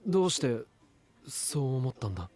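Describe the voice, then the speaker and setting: An actor playing a guarded, subdued young man in an anime visual novel, speaking tersely in a husky, breathy voice. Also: mid-range voice, very animated, fast talker, a little mellow, soft background music, natural voice. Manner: terse, restrained.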